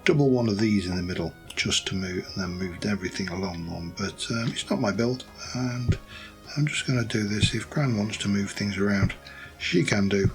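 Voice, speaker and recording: Low gravelly guy, voice going hoarse, chatting thru his game build, faint music behind. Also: moderate pace, rough recording. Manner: rambling, thinking aloud while building. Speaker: let's play host. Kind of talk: casual gameplay commentary.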